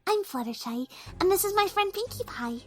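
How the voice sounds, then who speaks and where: A woman voicing a timid, soft-spoken, kind young female pegasus pony in a cartoon audio drama, hesitant and apologetic. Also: very high voice, animated, fairly quick, soft background music. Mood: shy, kind. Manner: hesitant, apologetic, gently stammering.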